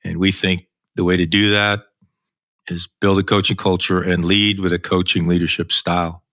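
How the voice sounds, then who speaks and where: A man's voice: low voice, flat delivery, moderate pace, fairly bright tone, no echo, studio quality. A man hosting a podcast, introducing the show and those taking part. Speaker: podcast host.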